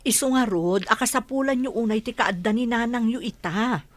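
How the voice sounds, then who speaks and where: A woman's voice: fairly high pitch, normal speed, dry recording, close to an everyday voice. A woman playing a concerned, persuasive village auntie in a radio drama, earnest and urging. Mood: advising.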